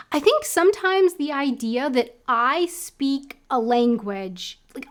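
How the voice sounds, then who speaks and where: An American woman in her thirties talking to camera in a casual, reflective vlog, thinking aloud with lots of fillers. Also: high voice. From United States. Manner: chatty, thinks aloud with many likes and I don't knows. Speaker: vlogger. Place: indoor room at home.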